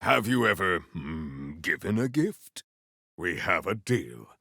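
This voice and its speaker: A proud, battle-hungry game warrior with a low, gravelly voice. He speaks in formal, ceremonious phrases, grave and stern, at a slow and weighty pace. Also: big pitch swings, sharp tone, no echo, clean studio sound, exaggerated voice. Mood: solemn, measured. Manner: formal, measured, ceremonious diction, few contractions. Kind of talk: video game character voice lines.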